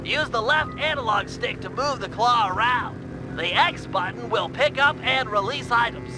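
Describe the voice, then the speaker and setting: A man's voice: very high voice, animated, a little slow, poor audio. A man voicing a brainy, nerdy cartoon turtle from a video game, giving precise, wordy and eager instructions. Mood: brisk briefing. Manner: precise, verbose, eager briefing. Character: nerdy, brainy, high-voiced cartoon turtle, the gang's planner. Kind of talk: animated video game acting. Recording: music behind the voice.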